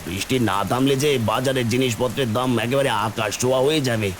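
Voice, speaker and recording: A man playing a gentle, weary poor village father, plain-spoken and affectionate, in a Bengali cartoon fairy tale. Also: medium pitch, fast, close to an everyday voice. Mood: worried. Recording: faint rain noise behind.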